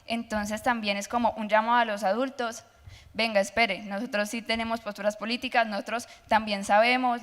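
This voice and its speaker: A teenage girl speaking passionately and argumentatively as a panelist at a public forum. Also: a little high. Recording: long hall reverb.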